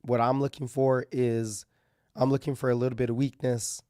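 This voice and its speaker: A man hosting casual market commentary, thinking aloud with fillers and restarts, his voice going a little hoarse as he clears his throat. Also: mid-range voice, flat delivery, moderate pace, warm tone, no room sound, studio quality.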